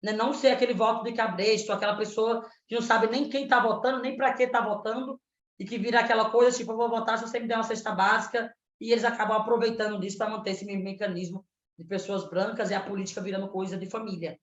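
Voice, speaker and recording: A woman in her thirties from Pernambuco speaks with earnest activist conviction, often tagging her points with questions. Her voice sits fairly high. It comes through a roomy, dull lo-fi line, like a video call. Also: fairly even intonation, fast talker. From Pernambuco, Brazil. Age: 30s. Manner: earnest, activist, frequent "né?" tags. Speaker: candidate for state legislature. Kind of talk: panel debate answer.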